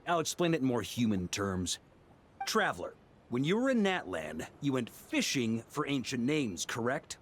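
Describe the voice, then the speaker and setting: An actor playing an easygoing veteran knight leader in a video game, musing and breezy with a theatrical touch. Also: male voice, medium pitch, sing-song, moderate pace, slight background noise, close to an everyday voice. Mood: musing explanation.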